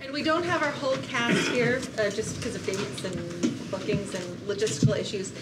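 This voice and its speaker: A woman's voice: medium pitch, moderate pace. A woman giving an informal spoken introduction to a group at a table read. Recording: distant mic, loud room noise.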